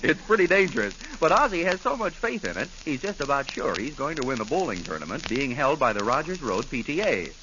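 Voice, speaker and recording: A man's voice: fairly high pitch, sing-song, fairly quick, few pauses, over loud music. A man working as a radio announcer, narrating a 1940s comedy show in a wry, genial manner. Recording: noisy, muffled old radio recording.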